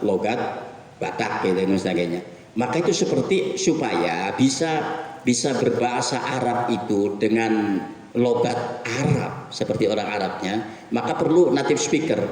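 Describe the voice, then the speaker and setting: A middle-aged man giving a weekly mosque sermon in a conversational, animated way, asking his listeners rhetorical questions. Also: lowish voice. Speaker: preacher. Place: mosque hall. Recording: echoey mosque hall from far off.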